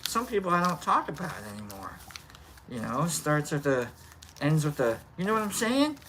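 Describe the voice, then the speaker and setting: A live stream host with a nasal, bright, sharp-edged voice talks casually to the chat, rambling and repeating greetings to viewers. The pitch sits mid-range. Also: big pitch swings, normal speed, slight background noise, poor audio. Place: outdoor lounge by a fire pit.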